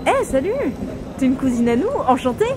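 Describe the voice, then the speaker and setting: An actress playing an eager, curious, friendly young newcomer in a French audio drama, excitable and full of naive questions. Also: female voice, sits high, brisk, noisy background. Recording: very loud music under the voice.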